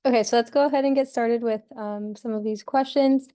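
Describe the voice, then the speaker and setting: A woman's voice: a little high, steady tone, a little fast, mellow. A woman moderating an online panel, upbeat and organized, introducing the session and asking questions over a video call.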